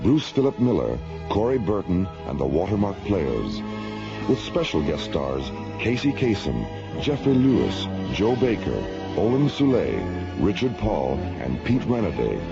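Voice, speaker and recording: A male announcer reads scripted radio credits. His voice is fairly low with lively swings in pitch, over loud music. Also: measured pace. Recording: dull lo-fi, loud music behind.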